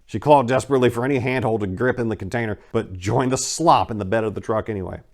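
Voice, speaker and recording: A man giving an intense, expressive dramatic reading of a scripted crime story as its narrator. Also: medium pitch, quick pace.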